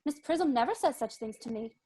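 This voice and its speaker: Woman doing a high girlish society miss, prim and frank, reading a stage comedy. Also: lively intonation, a little fast, dark tone, breathy edge, rough recording. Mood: innocently prim. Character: prim, innocent, frank young English society girl.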